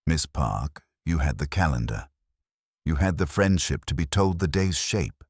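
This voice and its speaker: A man's voice: rumbling low, lively intonation, a little slow, slightly rough, no echo, studio quality, full character voice. A man voicing an imperious, exacting master detective in an audiobook, formal, pedantic and coldly accusing.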